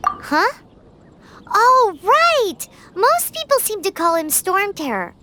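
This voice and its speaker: Squeaky, very high little fairy girl. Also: very animated, slow pace, pushed and bright, a little hiss or hum, full character voice. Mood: curious. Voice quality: squeaky, childlike. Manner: speaks of self in third person, exclamatory. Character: tiny childlike fairy companion girl, chirpy, blunt, indignant. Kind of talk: anime-style video game dialogue.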